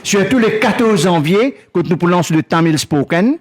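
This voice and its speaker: High-voiced man making an earnest announcement. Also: expressive, normal speed. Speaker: project organizer announcing a launch. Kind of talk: spontaneous announcement in creole-tinged French.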